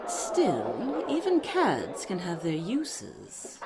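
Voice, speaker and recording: A woman playing a cool, wry lady detective with a measured, knowing manner in an audio drama. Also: on the low side, wildly swooping pitch, over a noisy crowd, very noisy, exaggerated voice. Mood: wry, confiding.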